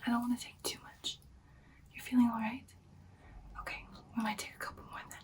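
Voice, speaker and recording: A woman playing an affectionate, caring vampire girlfriend in a whispered ASMR roleplay, speaking softly and reassuringly, slightly off the mic. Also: a little high, level tone, a little fast, distant mic, close to an everyday voice. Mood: caring, checking in. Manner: soft, soothing, reassuring personal attention.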